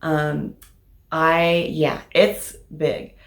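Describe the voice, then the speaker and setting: A woman with a slightly raspy voice from allergies, chatting casually about her crafting progress with lots of fillers. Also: deep voice, little pitch movement, very slow. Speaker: crafting vlogger. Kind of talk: casual show-and-tell vlog. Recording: echoey room.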